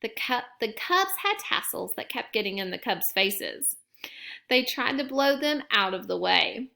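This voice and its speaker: A woman's voice: on the high side, lively intonation, measured pace, gravelly, pushed and bright. A woman reading a children's picture book aloud like a teacher to young children.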